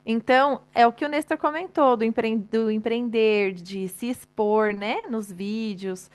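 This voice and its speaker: A woman giving a chatty, live-streamed motivational talk, addressing the audience directly and laughing often. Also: fairly high pitch.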